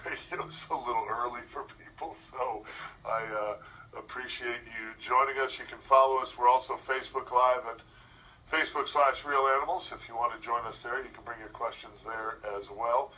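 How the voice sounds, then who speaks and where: A man's voice: medium pitch, little pitch movement, moderate pace, bright, forceful tone, far from the mic, rough recording. A man hosting a radio show, talking in a casual interview style. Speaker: radio host.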